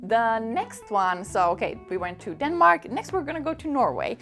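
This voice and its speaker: A woman's voice: on the high side, big pitch swings, normal speed, fairly bright tone, faint music behind. A young woman whose first language is Swedish, chatting casually and eagerly about knitting books with many fillers and little laughs. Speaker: knitting vlogger. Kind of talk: casual chat.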